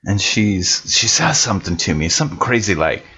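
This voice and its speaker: A man's voice: sits low, sing-song, normal speed, bright, forceful tone, lo-fi sound, full character voice. Man playing a swooping, slangy, bewildered biker dude. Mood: bewildered, excitable. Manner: rambling, exclamatory, slangy. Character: excitable, bewildered biker dude recounting a weird night. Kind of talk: in-character improvised recap, slangy. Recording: noisy home recording, mouth clicks.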